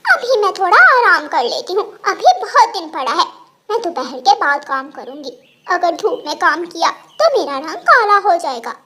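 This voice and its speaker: A woman's voice: very high voice, sing-song, moderate pace, sharp tone, full character voice. A woman voicing a lazy, vain and sly female crow in a cartoon. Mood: whiny.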